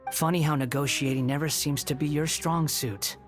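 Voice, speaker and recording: A man's voice: fairly high pitch, fairly even intonation, normal speed, close to an everyday voice. A man playing an arrogant, sarcastic young villain in a video game, with mock-polite theatrical delivery. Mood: sardonic. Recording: music behind the voice.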